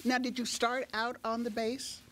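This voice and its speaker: A woman's voice: fairly high pitch, little pitch movement, moderate pace, slight background noise. An older woman interviewing guests as a talk show host in an effusive, welcoming, conversational way. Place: TV studio stage, seated at a desk.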